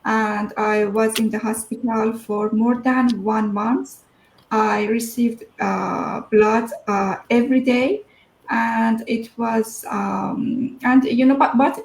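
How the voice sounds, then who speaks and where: Gravelly woman, roomy echo. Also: a little high, darkish tone. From Iran. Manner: hesitant, many fillers. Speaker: guest. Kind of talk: personal story in an interview.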